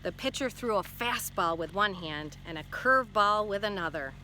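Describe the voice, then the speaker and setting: Female primary teacher, bright crisp-edged delivery, expressive storybook read-aloud with explanatory asides, slight background noise. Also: mid-range voice, moderate pace, rough recording. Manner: instructive, checking in with questions. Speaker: teacher reading a picture book aloud.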